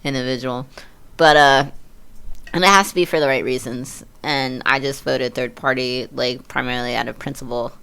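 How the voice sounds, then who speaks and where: A woman's voice: extremely low pitch, steady tone, normal speed, vocal fry, fairly bright tone, clear, resonant tone. A woman in her early thirties chatting casually as a podcast guest, with blunt, slangy talk full of swearing. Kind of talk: casual chat.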